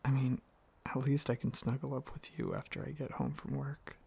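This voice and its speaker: A man playing an affectionate, excitable dragon boyfriend in an ASMR roleplay, talking casually and a little haltingly, with a gravelly voice. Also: mid-range voice. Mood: wistful. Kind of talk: ASMR roleplay, softly addressing the listener as partner.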